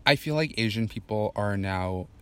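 A man's voice: fairly low pitch, animated, normal speed, a bit bright, clean tone. Blunt young man, casual chat. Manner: blunt, swears freely, laughs often. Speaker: podcast co-host.

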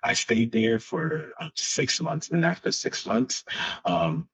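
Husky-voiced man in a halting chat. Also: mid-range voice, very animated. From Haiti. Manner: halting, searching for words, many fillers. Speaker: guest musician. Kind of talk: casual interview. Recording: dull lo-fi sound.

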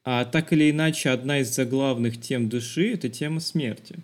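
A man's voice: mid-range voice, brisk. A man co-hosting a podcast, discussing film in a thoughtful, explanatory conversational way. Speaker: podcast co-host.

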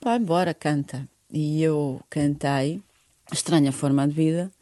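A woman's voice: low-pitched, steady tone, a little slow, warm tone, dry recording, clean studio sound. A middle-aged woman from Bosnia, speaking a learned earnestly and searching for words in a radio interview. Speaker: guest singer.

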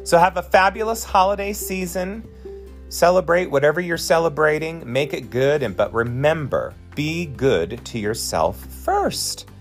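A man's voice: a little high, animated, measured pace, creaky, faint music behind. A man in his forties hosting a podcast, talking in an effusive, encouraging way straight to his listeners. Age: 40s. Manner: chatty, effusive and encouraging, addresses listeners directly. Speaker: podcast host and drag performer. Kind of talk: casual podcast monologue.

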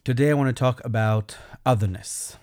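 A man from Belgium with a Belgian accent, a rabbi hosting his show and talking earnestly and personally, with gentle humor. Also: medium pitch, normal speed, no echo, studio quality. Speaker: rabbi and podcast host. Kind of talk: reflective solo talk.

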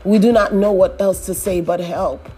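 A woman leading a fervent, pleading prayer, repeating her appeals and rising to shouts. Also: fairly low pitch, steady tone, normal speed, soft background music, rough recording. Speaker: prayer leader.

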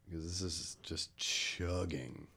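A young man with a very deep voice hosts a casual podcast. He talks loosely, swears often and jokes around with his guest. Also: takes it slowly, sharp tone. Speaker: podcast host.

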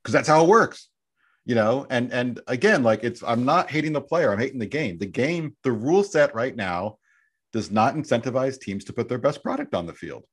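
A man's voice: medium pitch, lively intonation, normal speed, breathy edge. A man as a podcast guest, talking earnestly and restarting his sentences. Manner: earnest, repeats words when starting a point. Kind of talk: casual podcast chat.

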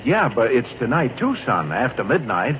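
An actor playing a kindly, chatty middle-aged traveling salesman with a folksy, avuncular, unhurried manner in 1940s radio drama. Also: medium pitch, big pitch swings, fairly bright tone. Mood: helpful, persuasive. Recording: noisy muffled old radio recording.

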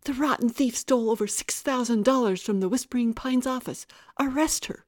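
Woman acting a high-pitched, spiteful, frenzied young woman. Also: animated, slow pace, a little mellow, dry recording, studio quality. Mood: frenzied accusing. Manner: shrill accusing outbursts, pleading whine.

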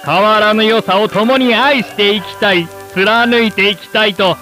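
A man's voice: sits high, bright, forceful tone, faint music behind. A man playing an earnest television presenter in a radio drama, announcing in formal, scripted, solemn language. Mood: solemn, nostalgic. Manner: formal, solemn, measured wording.

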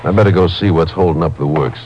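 Actor as folksy, low-voiced Texas sheriff. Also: male voice, fast talker, muffled recording, background noise, lightly acted. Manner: folksy, informal. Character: folksy, busy small-town Texas sheriff. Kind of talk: 1950s radio acting. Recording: old radio drama, crowd sound.

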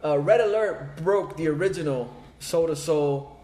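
Laid-back guy hosting a podcast, kinda high voice, lots of ums and uhs. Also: unhurried, dark tone, reverberant. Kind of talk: casual chat about hip hop films and music.

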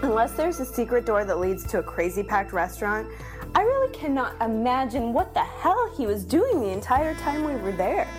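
A woman plays an exasperated young female online reviewer in a theatrical comedy monologue. Her high voice swoops with sardonic, melodramatic emphasis, and music plays clearly behind her. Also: sing-song, normal speed. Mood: indignant. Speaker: performer giving a dramatic reading.

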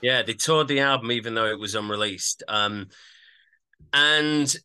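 A man's voice: medium pitch, a little slow, pushed and bright, smooth, low-quality recording. A man co-hosting a music podcast, casually sharing information about albums in a conversational way.

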